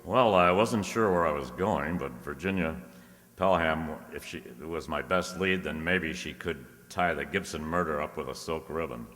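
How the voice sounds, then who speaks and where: An actor playing a wisecracking hard-boiled private detective in a radio play, with a dry, sardonic delivery. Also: male voice, a bass voice, moderate pace, a bit bright. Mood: brooding narration. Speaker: amateur radio drama actor. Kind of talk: old-time detective radio play acting and narration. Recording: echoey hall, long reverb.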